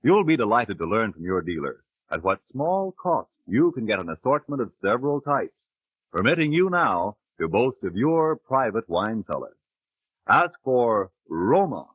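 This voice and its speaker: A man's voice: medium pitch, breathy edge, dry recording. A man announcing a commercial in polished, emphatic 1940s radio style. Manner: polished, emphatic sales delivery. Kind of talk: 1940s radio advertising read. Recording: phone-like, dry 1940s radio recording.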